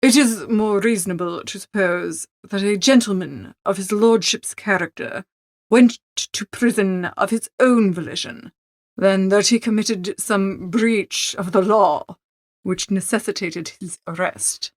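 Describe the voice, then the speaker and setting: A woman voicing a calm, deferential male valet in an audiobook, soft-spoken, formal and measured. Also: mid-range voice, no room sound, close to an everyday voice. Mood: smoothly persuasive. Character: unflappable, deferential English valet, a male servant. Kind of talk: audiobook character acting.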